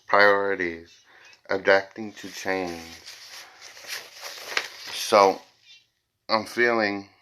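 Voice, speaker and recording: A man with a very deep voice and plenty of fry, cut with a forceful, bright edge. He reads tarot informally for his viewers. His delivery is blunt, with phrases repeated for stress. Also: a little hiss or hum. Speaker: tarot reader, host.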